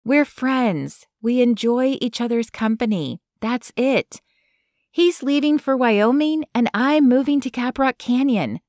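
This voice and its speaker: Casting: woman doing a guarded young woman for an audiobook, high and insistent, dry close sound. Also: animated, measured pace, darkish tone, dry recording.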